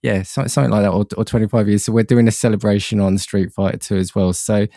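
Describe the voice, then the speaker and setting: Man, podcast guest, slightly low pitch, dry recording, rambling casual talk, hesitant. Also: steady tone, quick pace, dark tone, no echo. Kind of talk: casual chat about films and games.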